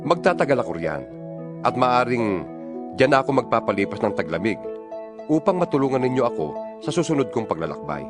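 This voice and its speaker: A man's voice: medium pitch, sing-song, fairly quick, pauses often, close to an everyday voice. A man with a Filipino accent playing an apostle exhorting fellow believers in an emphatic, oratorical dramatized Bible reading. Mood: earnest, instructive. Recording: music bed behind the voice.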